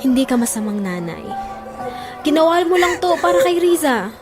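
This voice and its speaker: A woman's voice: sits high, very animated, moderate pace, over music. A woman with a Filipino accent playing a caring, reassuring friend in an audio drama, soothing and earnest. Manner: soothing, earnest, gently insistent. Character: caring, reassuring female friend of a distressed mother.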